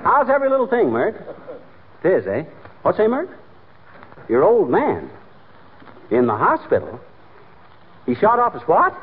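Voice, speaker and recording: An actor playing a boastful, bumbling American husband in a 1940s radio comedy, with folksy, blustery wisecracks. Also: male voice, sits high, huge pitch swings, measured pace, long pauses, exaggerated voice. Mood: chatty. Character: bumbling, boastful husband, newly made fire commissioner. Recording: tinny, noisy 1940s radio recording, audience.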